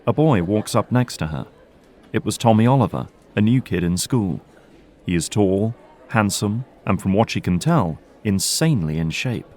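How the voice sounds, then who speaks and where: A man's voice: fairly low pitch, lively intonation, moderate pace, a little mellow. A man narrating a scripted audio drama as storyteller.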